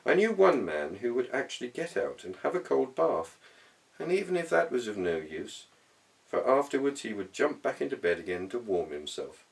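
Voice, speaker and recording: A man giving an expressive, theatrical and wry reading of a humorous literary essay, with measured dramatic pauses. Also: mid-range voice, gravelly, recorded from a distance, poor audio. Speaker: audiobook reader.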